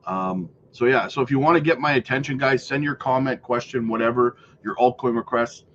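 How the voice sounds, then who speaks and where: A man's voice: medium pitch, a little fast, fairly bright tone, dull audio, slight background noise. A man hosting a casual crypto livestream, rambling through viewer comments with many fillers while losing his voice.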